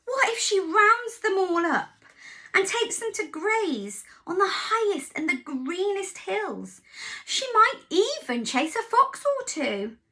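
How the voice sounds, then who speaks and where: A woman playing an earnest storybook sheepdog who worries about losing his place. His voice is high and bright, and it rises and falls in a lively way. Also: slow pace, exaggerated voice. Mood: worried. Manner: earnest, barking.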